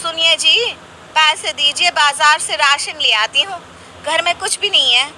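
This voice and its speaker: A woman's voice: high-pitched, unhurried, bright, forceful tone, slight background noise, full character voice, low-quality recording. A woman playing a pushy, ambitious young wife in a cartoon, with a nagging, emphatic manner. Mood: indignant complaining.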